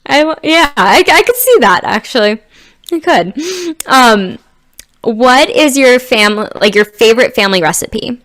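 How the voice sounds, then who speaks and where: A woman's voice: on the high side, lively intonation, a little slow, fairly bright tone. A woman hosting an interview, bubbly and affirming, laughing often between questions. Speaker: interview host. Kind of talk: casual interview chat.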